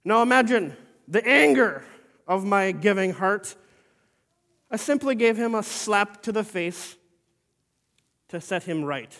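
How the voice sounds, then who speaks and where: A man playing the saint as an elderly, humble but stern bishop, telling his own story slowly and formally in a church monologue. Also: high-pitched, animated, a bit bright, in a large hall, exaggerated voice. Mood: earnest. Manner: slow, solemn storytelling with formal phrasing. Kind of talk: first-person dramatic monologue.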